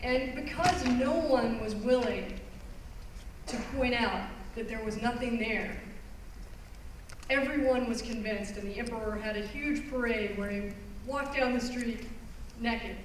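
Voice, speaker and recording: A woman lectures to an audience in an earnest, explanatory way, stressing key phrases. Her voice sits fairly high. The recording is far from her, in a very echoey hall with faint noise. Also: unhurried. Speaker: environmental lawyer giving a talk. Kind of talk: public presentation. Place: conference hall.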